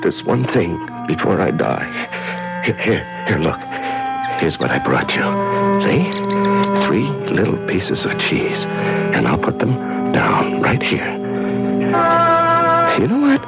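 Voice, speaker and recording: An actor playing a broken, gentle, half-mad male prisoner in a 1970s radio drama, halting, stammering and pleading. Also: sits low, wildly swooping pitch, vocal fry, muffled recording, exaggerated voice. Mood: pleading, hurt. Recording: old radio broadcast with music.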